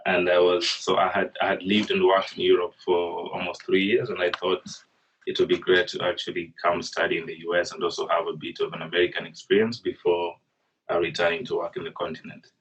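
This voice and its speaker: Deep, flat-voiced young man on a call. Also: breathy edge. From Kenya. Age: young adult. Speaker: panelist. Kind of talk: panel answers. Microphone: video call. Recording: distant, dull video-call audio.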